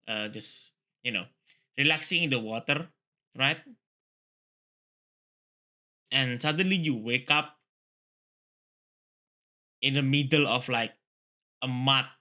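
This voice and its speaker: Male, very bright forceful voice, read-along host, casual, repetitive. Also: medium pitch. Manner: rambling, repeats phrases. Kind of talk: book reading mixed with casual commentary.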